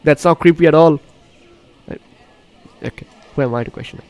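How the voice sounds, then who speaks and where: A man's voice: on the high side, brisk, a little mellow, dry recording. A man hosting a game walkthrough, reading the on-screen story aloud and reacting in an exclamatory way. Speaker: gaming host. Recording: game music behind commentary. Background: game music.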